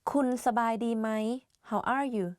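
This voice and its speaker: A woman reading example questions for a language lesson in a clear, measured way. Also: fairly high pitch, dry recording, clean studio sound. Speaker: co-presenter reading example phrases. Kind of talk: scripted phrase reading.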